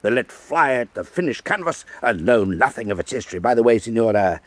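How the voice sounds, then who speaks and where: Husky, pompous, meddling nobleman. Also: medium pitch, sing-song, a little fast, no echo. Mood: commanding. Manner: effusive, declamatory, pompous. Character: expansive, meddling, imperious Venetian nobleman and art patron. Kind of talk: full-cast historical radio drama acting.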